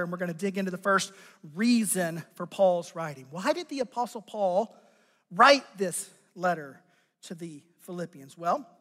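An American man preaching a conversational teaching sermon as a pastor, with a raspy, strained voice and deliberate, emphatic phrasing. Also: sits high, fairly bright tone. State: strained voice after losing it. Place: large church sanctuary. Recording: long hall reverb from sound system.

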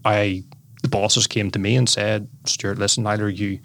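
A man's voice: on the low side, little pitch movement, normal speed, creaky, a bit bright, dry recording. A man in his forties from Northern Ireland with a Belfast accent, telling his life story as a podcast guest in a chatty way, often addressing the host by name. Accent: Northern Irish, Belfast. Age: 40s. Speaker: guest, former lawyer.

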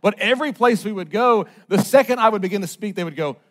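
A man preaching a conversational sermon, chatty and self-mocking, checking in with the congregation as his voice swings from loud and emphatic to low and soft. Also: fast. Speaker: pastor.

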